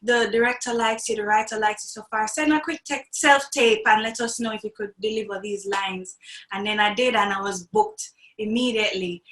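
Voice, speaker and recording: A woman's voice: medium pitch, moderate pace, a bit bright. A young adult woman with a Jamaican accent, talking animatedly as a guest in an interview and laughing often. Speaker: actress, guest. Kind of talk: interview conversation. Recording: echoey room.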